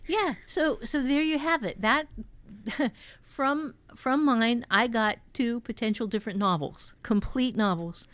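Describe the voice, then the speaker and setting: A woman's voice: a little high, unhurried, a bit bright, no room sound. A middle-aged or older woman, an author and teacher, chatting casually and reflectively as a podcast co-host.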